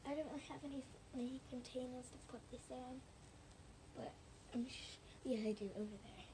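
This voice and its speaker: A woman chatting casually and haltingly through a hands-on demonstration for viewers, with a hoarse, breathy voice that keeps thinning into a whisper. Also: sits high, steady tone. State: hoarse, losing her voice. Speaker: slime video maker talking to viewers. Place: at home indoors. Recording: noisy amateur home recording.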